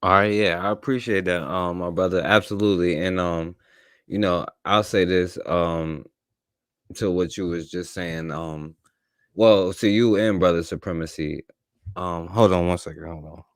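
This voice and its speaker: A man's voice: low voice, fairly even intonation, normal speed, dry recording, clean studio sound. A man hosting a casual talk radio panel in a laid-back, conversational way. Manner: laid-back, conversational, addresses guests as brother. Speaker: radio show host.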